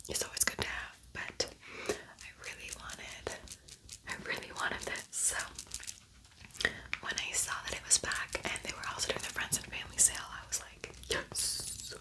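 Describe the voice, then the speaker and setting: A woman hosting an ASMR makeup tutorial, whispering softly in a casual, chatty, unhurried way. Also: rough recording.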